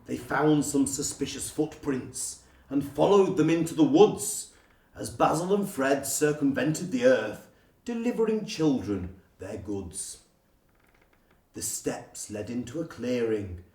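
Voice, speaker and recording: Guy reading a rhyming storybook slowly, theatrical delivery, sounds roomy and a bit far from the mic. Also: mid-range voice, expressive, warm tone. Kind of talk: scripted rhyming storybook narration. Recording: roomy, distant from the microphone.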